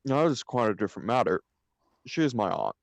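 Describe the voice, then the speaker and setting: A man's voice: medium pitch, sing-song, fast, vocal fry, no echo. A man reading the part of a flippant, idle upper-class bachelor in a stage comedy, with an arch, mock-serious declamatory manner. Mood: teasing, indignant.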